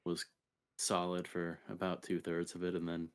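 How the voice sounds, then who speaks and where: Low-voiced male podcast co-host. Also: monotone, normal speed, clean tone. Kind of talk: casual video game podcast chat.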